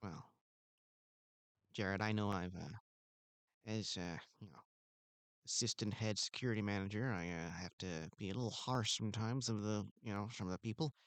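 A man's voice: lowish voice, normal speed, long pauses, dry recording, natural voice. A man playing an insecure, self-important security guard who rambles and stammers. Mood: earnest. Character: insecure pompous male mall security guard. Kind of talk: improvised comedy scene.

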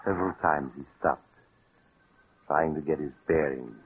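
A man's voice: extremely low pitch, normal speed, phone-quality sound. A man giving scripted narration for a 1940s radio mystery. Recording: noisy, thin vintage radio recording.

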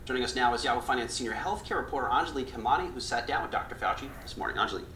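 A news anchor reading a scripted lead-in quickly and crisply. The tone is bright. The clip sounds roomy, with faint hiss behind it. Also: mid-range voice. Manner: crisp broadcast delivery.

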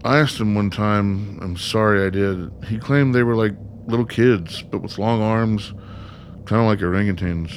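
A man's voice: lowish voice, normal speed, a little hiss or hum, natural voice. A man acting in a radio play as a bitter, sarcastic, foul-mouthed son with a blunt manner. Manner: blunt, sardonic.

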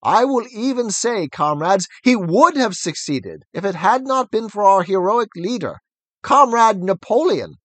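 A man voicing Squealer, a persuasive, excitable male pig who talks fast and insistently. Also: sits high, dry recording, pitched above the performer's own voice, full character voice. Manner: smooth, insistent, wheedling.

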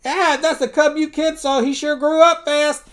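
A man's voice: very high voice, normal speed, voice pushed up. A man voicing a jittery, cowardly cartoon duck while reading a comic story aloud. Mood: panicky.

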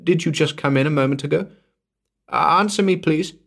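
A man voicing a frightened woman alone in a snowbound house, pleading in a high, breathless voice. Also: normal speed, creaky, fairly bright tone. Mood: anxious.

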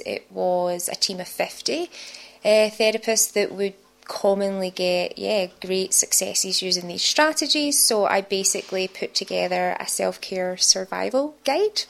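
A woman with a thick Scottish accent, speaking as a guest in a radio studio. She talks at an unhurried pace, laughing often and filling gaps with ums and yeahs. Her pitch sits in the middle of the usual range. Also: creaky. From Scotland. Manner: giggly, warm, chatty with many fillers. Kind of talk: casual interview chat.

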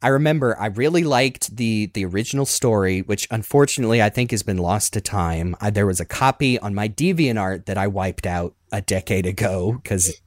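A man in his thirties reminiscing casually as a podcast host in an animated, rambling, self-deprecating way. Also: on the low side, fairly quick, hardly any pauses, dry recording, clean studio sound.

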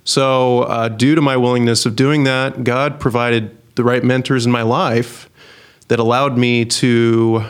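A man from Idaho, a missionary guest telling his story in a measured, casual interview. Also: mid-range voice, level tone, clear, resonant tone. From Idaho, United States.

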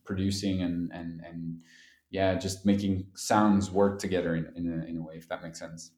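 Low-voiced Belgian man, echoey room. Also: flat delivery, moderate pace, a little mellow. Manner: hesitant, many fillers and restarts. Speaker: musician guest. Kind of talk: casual interview chat. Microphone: phone call.

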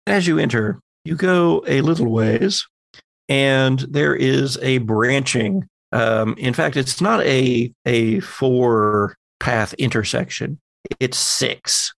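Gravelly man running a tabletop game. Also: mid-range voice, slow, a bit bright, no room sound. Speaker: game master. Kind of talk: narrating and refereeing a tabletop horror roleplaying game.

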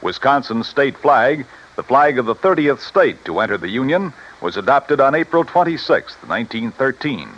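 A man's voice: mid-range voice, very animated, normal speed, hardly any pauses, a bit bright. A man reading scripted history narration in the style of 1950s radio. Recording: muffled old radio recording.

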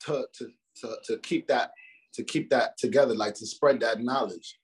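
A man's voice: mid-range voice, moderate pace, breathy edge. A man in his twenties chatting casually as a rapper in an interview, loose and slangy with lots of fillers and restarts. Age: 20s. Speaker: rapper guest.

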